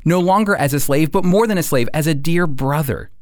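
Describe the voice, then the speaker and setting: A man giving a scripted sermon as a preacher, phrasing deliberately and emphatically and putting questions to his listeners. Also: fairly high pitch, no room sound, studio quality.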